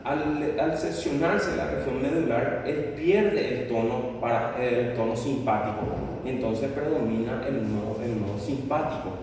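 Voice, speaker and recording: Distant lecturer in an echoey classroom. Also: male voice, medium pitch, a little slow, hardly any pauses, darkish tone, a little hiss or hum. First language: Spanish. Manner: explanatory, frequent tag questions. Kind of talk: classroom lecture reviewing exam questions. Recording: roomy classroom audio from a distant mic.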